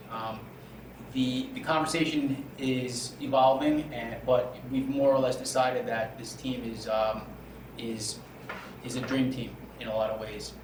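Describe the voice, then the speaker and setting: A middle-aged man from New York with an American accent, hosting a casual podcast interview. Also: medium pitch. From New York, United States. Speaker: host and entrepreneur. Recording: echoey room, clear background noise, distant mic.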